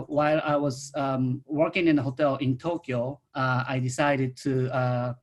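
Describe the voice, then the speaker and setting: Middle-aged man with a Japanese accent. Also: mid-range voice. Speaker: winemaker, guest speaker. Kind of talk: informal autobiographical talk on a video call. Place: online video call.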